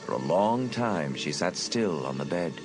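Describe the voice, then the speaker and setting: Brief: male storyteller, lowish lively voice, measured suspense, music underneath. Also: low-quality recording. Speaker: narrator. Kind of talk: scripted audiobook narration. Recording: narration over a music bed.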